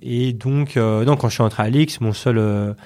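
A man's voice: mid-range voice, warm tone. A man in his late thirties with a Parisian French accent, answering interview questions in a hesitant, casual way with frequent repeated words. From Paris region, France. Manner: hesitant, with many word repetitions and filled pauses. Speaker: podcast guest, company chief executive.

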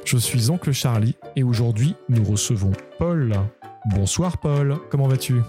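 A man hosting a podcast interview, asking calm, friendly questions. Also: mid-range voice, a little slow, soft background music. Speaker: podcast host.

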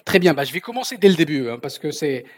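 A man's voice: fairly high pitch, sing-song, quick pace, no room sound, studio quality. A man in his thirties from southern Morocco, a native Berber speaker, telling his story hesitantly as an interview guest. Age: 30s. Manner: hesitant, repeating words as he searches for phrasing. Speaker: podcast guest, company founder. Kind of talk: casual autobiographical interview.

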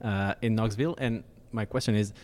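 A man's voice: lowish voice, fairly even intonation, fairly quick, darkish tone. A man from France with a French accent, hosting a casual interview and asking long, winding questions full of restarts. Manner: friendly, rambling questions with restarts. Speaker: podcast host.

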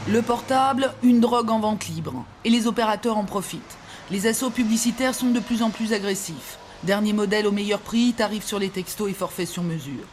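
A woman reporter narrating an archive TV news report in a measured, formal voice. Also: medium pitch, faint music behind. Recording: archive TV report, faint background noise.

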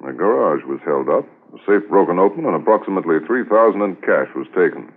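A commanding old-time radio inspector, deliberate and reflective. His low voice moves expressively up and down. The recording is dull and boxed-in, in 1950s fashion. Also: expressive. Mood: measured, approving. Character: authoritative, deliberate senior police inspector. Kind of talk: 1950s radio drama acting.

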